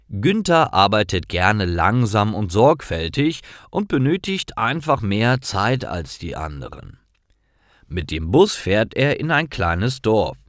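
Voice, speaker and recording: A man narrates simple stories for language learners with a lowish voice. His delivery is slow and careful, with animated intonation. The recording is dry. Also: no echo.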